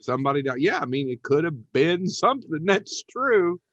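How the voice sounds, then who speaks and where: A man's voice: on the high side, big pitch swings, moderate pace, highs cut off, a crowd in the background. An American man bantering casually as a podcast host.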